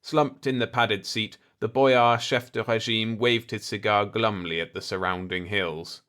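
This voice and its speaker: A man's voice: lowish voice, fairly even intonation, unhurried, a bit bright. A man reading scripted science fiction narration as an audiobook narrator.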